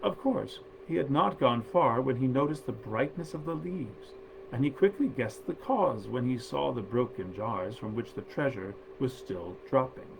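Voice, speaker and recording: A man storyteller reading a literary tale aloud in a measured, theatrical and genteel manner. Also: mid-range voice, expressive, creaky, background noise.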